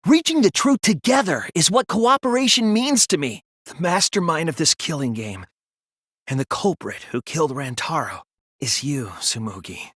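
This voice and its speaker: A man's voice: on the high side, lively intonation, fairly bright tone, slightly rough, no room sound, studio quality. A man acting an earnest, sensitive and anxious teenage boy detective in a dramatic video game dub. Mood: desperate pleading. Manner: earnest, measured explaining that turns to strained pleading.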